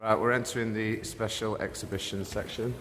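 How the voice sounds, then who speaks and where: Mann mit Akzent, locker plaudernd, etwas tiefe Stimme, hallender Museumssaal. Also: male voice, on the low side, steady tone, brisk, noisy background. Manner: chatty, reads exhibit labels aloud. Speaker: vlog host. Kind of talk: casual museum walkthrough. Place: large indoor museum. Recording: echoey museum hall. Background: museum ambience.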